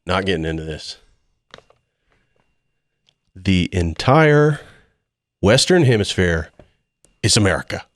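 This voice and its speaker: A man's voice: sits low, very animated, takes it slowly, long pauses, dry recording, studio quality. A man in his forties chatting casually as a guest on a podcast, rambling and swearing, with frequent laughs. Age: 40s. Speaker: band member guest. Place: band rehearsal room.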